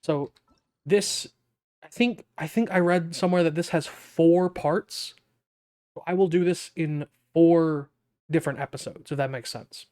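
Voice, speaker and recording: Casual, slightly high-voiced male gamer. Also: unhurried, a little mellow. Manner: rambling, self-interrupting. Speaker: gaming video creator. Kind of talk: casual gameplay commentary.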